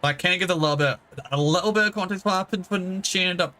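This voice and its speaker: A man's voice: high-pitched, fast talker, sharp tone. A man giving casual live game commentary, rambling and thinking aloud as he goes. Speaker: streamer.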